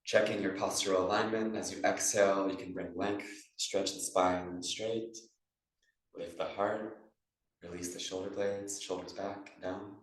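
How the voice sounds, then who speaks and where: A man with a low voice guides a meditation class as a yoga teacher. His delivery stays even, in measured phrases with pauses. He sounds distant from the mic, in a heavily echoing room. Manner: measured phrasing with pauses, instructing breath and posture. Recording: echoey room, distant microphone.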